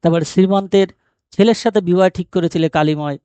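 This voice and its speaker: A man's voice: high voice, brisk, dark tone, no room sound, lo-fi sound. A man explaining a story as a teacher, posing questions and answering them himself. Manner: didactic, asks and answers own rhetorical questions. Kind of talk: explanatory lesson retelling a short story.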